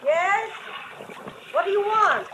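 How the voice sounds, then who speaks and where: A woman's voice: very high-pitched, lively intonation, slow pace, full character voice. A woman playing a brash, bitter, tough-talking wife in old-time radio drama style, slangy and challenging. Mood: suspicious. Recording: hissy vintage radio recording.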